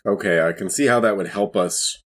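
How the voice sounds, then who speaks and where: A man's voice: low-pitched, brisk, fairly bright tone. A man playing a tabletop roleplaying game, chatting casually as he works things out.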